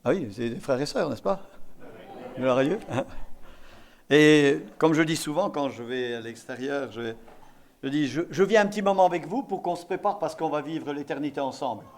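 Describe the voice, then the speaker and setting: Male preacher from eastern France, fairly high voice, exhorting sermon delivery with repeated key phrases. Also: lively intonation, moderate pace. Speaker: visiting evangelical pastor preaching.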